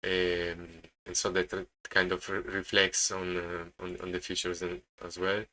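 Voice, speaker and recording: A low-voiced man with a strong, bright edge to his sound and an Italian accent. He talks casually as a live streamer, in a very flat melody, stopping and repeating words as he searches for the next one. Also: unhurried. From Italy. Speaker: day trading live streamer. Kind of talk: casual live market commentary.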